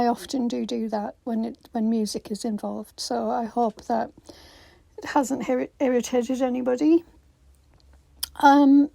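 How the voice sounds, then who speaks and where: A woman hosting a craft channel, giving a casual voiceover with a croaky voice after being ill, hesitant with many ums and restarts. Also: on the high side, dark tone, no room sound. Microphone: phone.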